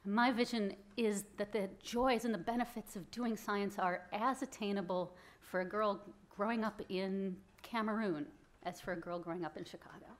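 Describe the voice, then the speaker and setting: A woman's voice: medium pitch, steady tone, normal speed. Woman in her 30s doing an award acceptance speech, earnest, punches key words, stand mic in a hall. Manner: earnest, rising emphasis on key phrases. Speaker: award recipient giving speech. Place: event hall with audience, indoors. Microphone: stand mic at podium.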